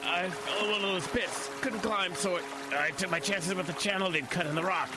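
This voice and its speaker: Man acting a high-voiced, strained park ranger. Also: moderate pace, over loud music, lightly acted. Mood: pained. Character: wounded, gruff, bewildered male park ranger. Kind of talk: audio horror drama acting.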